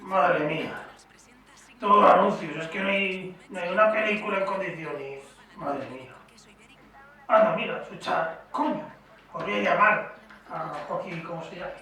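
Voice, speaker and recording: A man's voice: sits high, a little slow, long pauses, sharp tone, full character voice. A man with a Spain accent playing a grumbling, bossy person at home in an amateur comedy sketch. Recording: echoey room, far from mic, faint noise.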